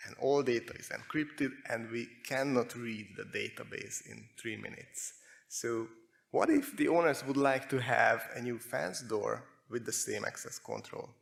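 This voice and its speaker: A man with a Hungarian accent giving a prepared technical presentation, speaking in measured short phrases with pauses. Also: medium pitch, vocal fry. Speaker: conference speaker, security researcher.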